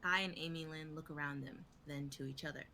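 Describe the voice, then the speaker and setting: A woman's voice: deep voice, fairly even intonation, brisk, gravelly, sharp tone, slight background noise. A young woman reading stage directions as scripted narration in a live drama reading. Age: young adult.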